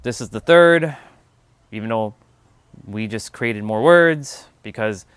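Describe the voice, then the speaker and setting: A man's voice: on the low side, animated, takes it slowly, a bit bright, smooth, dry recording. Rambling man hosting a spiritual livestream. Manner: rambling, musing, answers viewer chat; casual, swears offhandedly. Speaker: livestream host. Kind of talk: casual spiritual livestream chat.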